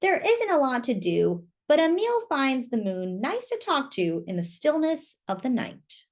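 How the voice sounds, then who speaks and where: Slow, very animated woman reading aloud. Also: high-pitched. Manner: asks young listeners questions and comments on pictures. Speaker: storyteller. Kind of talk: children's picture book read-aloud.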